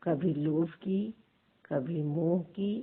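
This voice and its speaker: A woman leads a guided meditation as a teacher, pausing between short, measured phrases in a husky, creaky voice. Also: low-pitched. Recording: thin phone-like sound.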